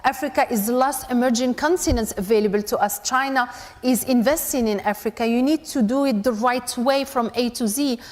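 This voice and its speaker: A woman from Morocco, speaking as a panelist in a fairly high voice. She lays out her points one by one, numbering them as she goes. Her words carry a long echo, as in a large conference hall. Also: fairly quick, few pauses, darkish tone. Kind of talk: panel discussion.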